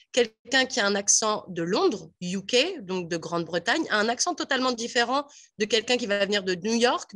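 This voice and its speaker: A woman from Paris with a Parisian French accent, chatting as a guest on a radio panel in a lively way with many fillers. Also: fairly high pitch. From France (Paris). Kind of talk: casual radio discussion.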